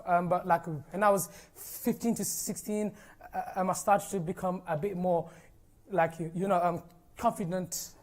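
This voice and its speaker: Young man who stammers. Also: high voice. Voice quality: stammer, with repeated sounds and blocked starts. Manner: many fillers such as "you know" and "like", earnest and encouraging. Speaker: teacher giving a conference talk. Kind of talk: informal personal talk to an audience.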